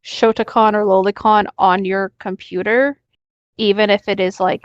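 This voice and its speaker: A woman's voice: mid-range voice, flat delivery, normal speed, no echo, muffled recording. A woman co-hosting a podcast, commenting casually and reading aloud. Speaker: podcast co-host reading and discussing creepypastas.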